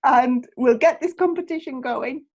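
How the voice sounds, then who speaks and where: A woman's voice: sits high, moderate pace, darkish tone, dull audio. A middle-aged woman hosting a podcast, speaking in a chatty presenting style. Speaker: podcast host.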